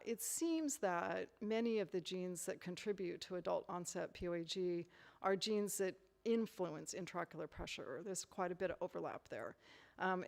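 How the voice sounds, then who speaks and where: A woman lecturer in a hall, answering audience questions thoughtfully with lots of "you know" fillers and restarts. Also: lowish voice. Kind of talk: conference Q&A answers.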